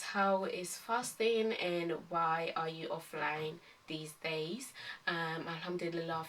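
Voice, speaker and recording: Young woman, twenties, from Ghana, low voice, calm and hesitant, vlog Q&A, slightly off-mic. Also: far from the mic, a little hiss or hum, low-quality recording. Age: 20s. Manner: calm, many ums and hesitant restarts. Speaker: vlogger. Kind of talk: casual Q&A vlog.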